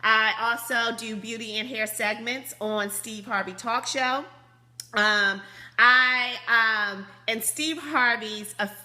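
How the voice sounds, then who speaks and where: A woman in her thirties with a high, slightly squeaky voice, hosting a business conference call in an upbeat, chatty way over a phone line. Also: pushed and bright. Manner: bubbly, laughs often, chatty. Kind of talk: upbeat motivational call talk.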